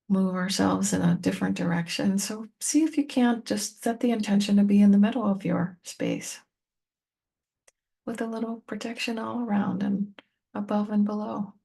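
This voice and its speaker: A woman leading a guided meditation, speaking gently and unhurriedly to the listener in short, spaced-out phrases. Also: mid-range voice, steady tone, moderate pace, gravelly, husky. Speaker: meditation teacher and tapping coach.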